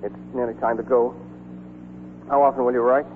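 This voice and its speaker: Actor as wistful soldier, tinny old radio. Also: male voice, medium pitch, flat delivery, normal speed, slight background noise, natural voice. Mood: fond, concerned. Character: soldier in love, tender and wistful narrator. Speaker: actor and narrator in a radio drama. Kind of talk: 1940s radio acting. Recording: tinny 1940s radio broadcast with music.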